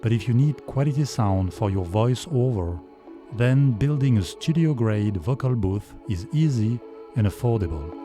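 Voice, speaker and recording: A man with a deep voice giving a calm, measured voice-over tutorial. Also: faint music behind. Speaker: video creator. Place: foam vocal booth.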